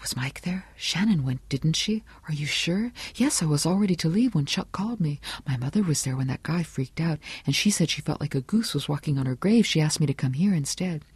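A narrator voicing frightened young partygoers in an audiobook with hushed, anxious murmurs. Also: mid-range voice, lively intonation, a little fast, few pauses, husky, no echo. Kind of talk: audiobook character acting.

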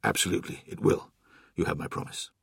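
A man voicing a calm, resolute warrior captain in an audiobook, speaking in a measured, quiet, firm way. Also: no room sound, clean studio sound, natural voice. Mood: cool, firm.

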